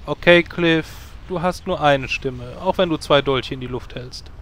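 A man's voice: a little high, unhurried, fairly bright tone. A man playing a bossy guild leader. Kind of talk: parody audio drama.

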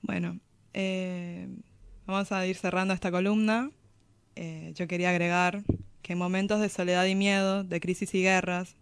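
A woman's voice: on the low side, clear, resonant tone, dry recording. Female segment host, casual radio talk, level intonation, dry studio sound.

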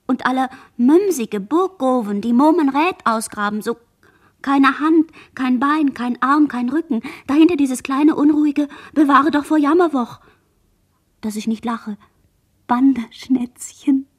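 Dazed surviving girl, high voice, fragmented musing, incantatory, literary radio drama. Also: unhurried. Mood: hushed. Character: dazed young girl, sole survivor, murmuring fractured inner monologue. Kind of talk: literary radio play monologue.

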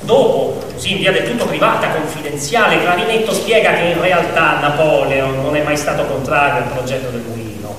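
A man's voice: a little high, fairly quick, hardly any pauses, noisy background. Very echoey, ironic male stage narrator. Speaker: actor and narrator. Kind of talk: theatrical scripted narration. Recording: echoey reverberant hall, distant, music behind.

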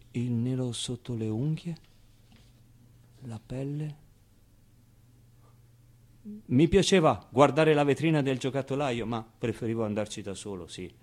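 An actor playing a wistful, streetwise man who recalls his orphaned boyhood in an expressive, colloquial theatrical monologue. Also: mid-range voice, close to an everyday voice. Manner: expressive, clownish, with pauses and repeated phrases. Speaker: stage actor. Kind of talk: theatrical monologue, colloquial first-person storytelling.

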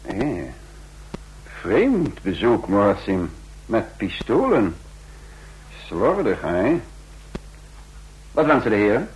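An actor playing a shrewd, world-weary male spy in a Dutch radio play, with a measured, dry delivery. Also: on the low side, sing-song, breathy edge, exaggerated voice. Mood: wry, wary. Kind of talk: Dutch radio play acting, narration and dialogue. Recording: noisy old radio play recording.

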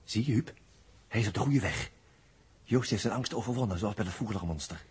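A man's voice: on the low side, brisk, darkish tone. An actor playing a watchful, knowing male observer in a Dutch 1960s radio drama. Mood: hushed, intent. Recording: rough 1960s radio recording.

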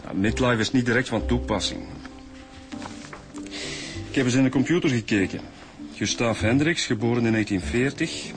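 An actor playing a cheeky, observant police inspector with a Flemish accent, casual and wry, in a radio drama. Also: male voice, medium pitch, normal speed, pauses often, music in the background, close to an everyday voice. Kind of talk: naturalistic radio drama acting.

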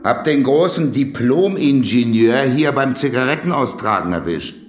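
An actor playing a bullying prison guard in a German radio play, curt, commanding and mocking. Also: male voice, mid-range voice, expressive, moderate pace, natural voice. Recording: echoey prison block, faint noise.

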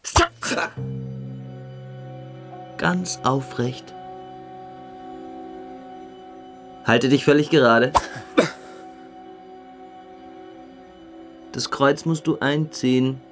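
An actor playing a fanatical, cruel young cadet in a radio play, giving hypnotic commands that sink toward whispers. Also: male voice, medium pitch, slow, a bit bright, faint music behind. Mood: stern command.

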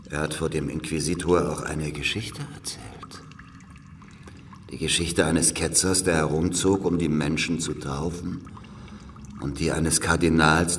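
An actor playing a worn, calculating older spy in a German historical radio drama, speaking in a grave, deliberate, conspiratorial way. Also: male voice, very deep voice, recorded from a distance, a little hiss or hum.

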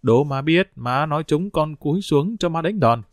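A woman's voice: low voice, normal speed, dry recording, studio quality. A man voicing a playful, teasing young adult daughter, narrated in a storybook reading. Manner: teasing, lively.

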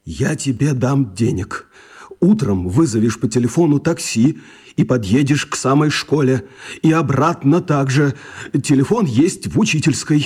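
Husky, practical father. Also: medium pitch, animated, moderate pace, darkish tone. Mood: calm, conciliatory. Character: practical, compliant father of a schoolboy. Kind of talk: children's radio play acting.

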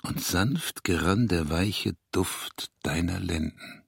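Brooding alcoholic writer, deep gravelly male voice, very husky, gruff, intimate radio play acting. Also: slow, heavily acted. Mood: hushed, poetic. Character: brooding, self-destructive alcoholic East German writer, gruff lover. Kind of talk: intimate German radio drama acting, part poetry.

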